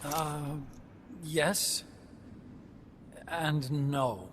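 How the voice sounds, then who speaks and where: An earnest young engineer. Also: male voice, a little high, steady tone, extremely slow, fairly bright tone, a little hiss or hum. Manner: explanatory, earnest lecturing. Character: earnest young male engineer scientist, talkative. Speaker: game character. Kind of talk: game cutscene voice acting. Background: game score music.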